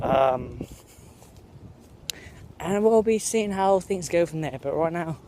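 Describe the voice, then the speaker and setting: A man's voice: fairly high pitch, noisy background. American guy faking a British accent. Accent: American speaker putting on a mock British accent. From United States. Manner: jokey and meandering, full of fillers and self-corrections. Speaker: vlogger host. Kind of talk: rambling walk-and-talk vlog. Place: outdoors on a school campus.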